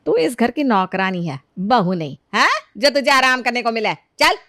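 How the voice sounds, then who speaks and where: A woman's voice: a little high, lively intonation, normal speed, fairly bright tone, no echo, studio quality. A woman voicing a harsh, scornful middle-aged mother-in-law who scolds and orders her maid about in a cartoon story. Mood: angry, sarcastic. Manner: scolding, commanding, sarcastic. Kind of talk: Hindi cartoon story character dialogue.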